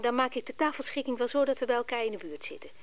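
A seductive, calculating society wife in a radio drama. Her voice is fairly high and swings freely up and down. She is forward and coaxing, sure of getting her way. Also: expressive, racing. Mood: flirtatious, inviting. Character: flirtatious, bold, calculating wife of a wealthy businessman. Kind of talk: Dutch radio play acting.

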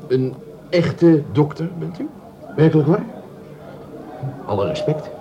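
An actor playing a dreamy, penniless plumber in a radio play, given to stammering rhapsodies and self-mockery. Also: male voice, a little high, animated, takes it slowly, vocal fry, lo-fi sound, full character voice. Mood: impressed. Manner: stammering, rhapsodic, repeats words. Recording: café crowd behind the voice.

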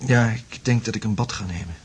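A man's voice: lowish voice, brisk, husky. An actor in a radio drama playing a troubled, hesitant, apologetic man, on a noisy recording. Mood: ashamed, weary. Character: troubled, anxious man, ashamed and unsure of himself.

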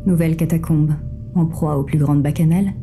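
Actress as cool controlling female guide, low and extremely mellow, measured, formal delivery for audio drama, faint music behind. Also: fairly even intonation, natural voice. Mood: detached, ominous. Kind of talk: scripted audio drama narration.

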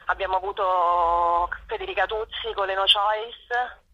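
A woman's voice: medium pitch, fairly even intonation, a little slow. A woman giving a spontaneous radio interview as a performer and show organiser, often searching for words. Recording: dull, phone-like radio call.

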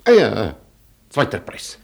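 An actor playing a proud, gruff Polish colonel of noble birth in a German radio play, pompous, clipped and commanding. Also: male voice, lowish voice, wildly swooping pitch, slow pace, a little mellow, exaggerated voice. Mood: indignant.

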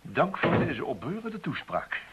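An actor playing a brisk, dogged, wry investigator in a radio drama. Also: male voice, a little high, wildly swooping pitch. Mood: surprised, wry. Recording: dull, faintly noisy old radio recording.

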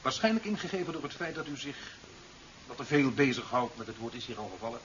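An actor playing a stern, authoritative official in a 1970s radio drama, speaking in formal, measured, reproving phrases. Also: male voice, medium pitch, expressive, a bit bright, natural voice. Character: stern, authoritative male official presiding over a hearing. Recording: dull, hissy old radio recording.

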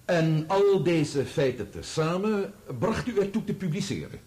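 A man playing a formal, probing barrister in a radio courtroom drama, with a deliberate, theatrical delivery. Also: a little high, big pitch swings, darkish tone, breathy edge, exaggerated voice. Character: formal, probing male barrister examining a witness. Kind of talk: radio play courtroom acting.

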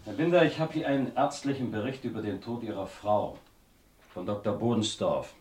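An actor playing an authoritative male police inspector in a 1970s radio crime drama, official and measured. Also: fairly low pitch, a little mellow, a little hiss or hum, natural voice. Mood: businesslike.

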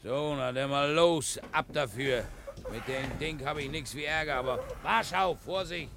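An actor playing a gruff, grumbling man aboard ship in a 1960s German radio crime drama, with a gravelly voice. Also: fairly high pitch, a little slow. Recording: noisy old radio recording, off-mic.

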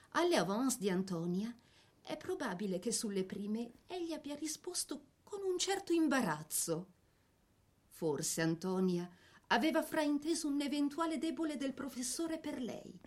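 A woman reading a scripted biographical narration for a dramatic piece, with measured, theatrical phrasing. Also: on the high side, darkish tone.

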